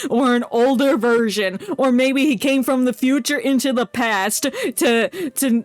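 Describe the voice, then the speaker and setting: A woman's voice: fairly high pitch, no room sound. A woman streaming a game with casual, joking commentary in a playful, mocking manner.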